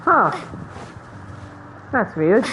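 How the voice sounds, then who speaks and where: A woman with an average-pitched voice comments casually on her game as she plays. Her remarks are offhand and informal, with faint game noise behind her. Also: very animated, extremely slow, narrow, tinny audio. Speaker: game streamer.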